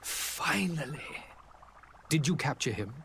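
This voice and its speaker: An actor playing a high-ranking ambassador in a video game, with a formal, courtly manner. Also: male voice, expressive, takes it slowly, a bit bright, slight background noise. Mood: formal, expectant.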